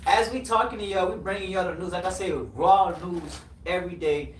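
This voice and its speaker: A man with a Haitian accent, somewhat high in pitch, trading loud, crude banter as host of a casual chat. He sits back from the mic in an echoey room with a faint hiss underneath. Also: a little fast. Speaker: podcast host. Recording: echoey room, far from the mic, light noise.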